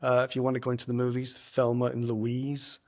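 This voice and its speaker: A man's voice: medium pitch, completely flat delivery, a little fast, darkish tone. A man hosting a podcast from his front room, chatty and jokey. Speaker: podcast host. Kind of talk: casual podcast chat. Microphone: single earpiece, home setup.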